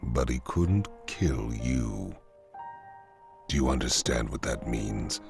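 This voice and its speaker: An actor playing a grave, ominous older man in an anime game dub, solemn and deliberate, as if revealing dark secrets. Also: extremely low pitch, animated, vocal fry, music in the background, exaggerated voice.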